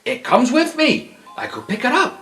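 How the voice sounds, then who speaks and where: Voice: high-pitched, wildly swooping pitch, moderate pace, pushed and bright, reverberant, soft background music. A tabletop roleplay player improvising casually, moving between description and in-character talk.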